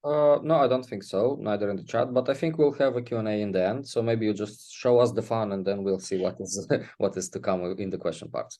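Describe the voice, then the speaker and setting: A man moderating an online webinar, making relaxed, polite interjections over a video call. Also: on the low side, a little fast, hardly any pauses. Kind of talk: casual moderating remarks.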